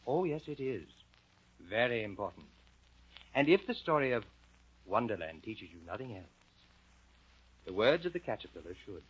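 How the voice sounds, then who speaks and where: A man playing a gentle, indulgent, slightly pedantic gentleman telling a story in period drama style. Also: on the low side, big pitch swings, moderate pace, long pauses, husky. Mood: storytelling, instructive. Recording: dull lo-fi old soundtrack, faint music.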